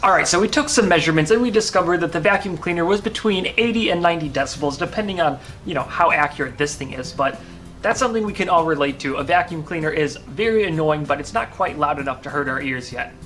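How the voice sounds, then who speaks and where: A man's voice: on the high side, lively intonation, quick pace, a bit bright. A man presenting a home experiment in casual, explanatory vlog narration. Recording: music behind the voice.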